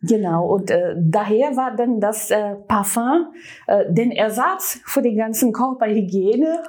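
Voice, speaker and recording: A woman's voice: medium pitch, expressive, measured pace, darkish tone. A woman from Brazil, a native Portuguese speaker with a Brazilian accent, talking enthusiastically as a talk show guest with many fillers. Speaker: guest, brand manager.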